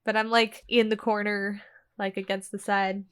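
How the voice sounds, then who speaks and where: A woman's voice: medium pitch, flat delivery, moderate pace, fairly bright tone, smooth. A woman playing a tabletop game, casually describing and asking about her character's actions. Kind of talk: casual roleplay chat.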